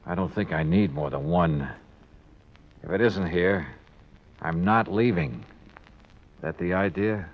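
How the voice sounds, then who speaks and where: An American actor playing a wry, cool-headed hardboiled investigator in a 1950s radio drama, with clipped, slangy delivery. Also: male voice, sits low, slow pace, long pauses, full character voice. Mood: cool probing. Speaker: lead actor and narrator. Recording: dull 1950s radio recording, music behind.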